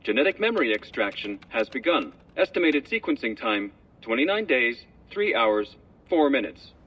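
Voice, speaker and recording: Computer system voice in a game, procedural status readout, muffled and distant. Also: male voice, mid-range voice, big pitch swings, normal speed, slightly rough. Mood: impassive. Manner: clipped, procedural. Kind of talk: scripted system announcement.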